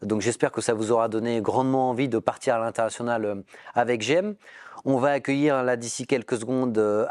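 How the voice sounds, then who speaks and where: A man's voice: mid-range voice, a little mellow, no echo. Man hosting an interview, dry mic. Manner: guiding questions, frequent fillers. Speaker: interviewer, prep school director.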